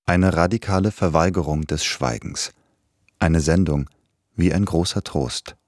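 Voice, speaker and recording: A man with a very deep, low voice reads a scripted radio introduction in a measured, formal way. The recording is dry and close, with no room sound. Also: no echo. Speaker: radio presenter.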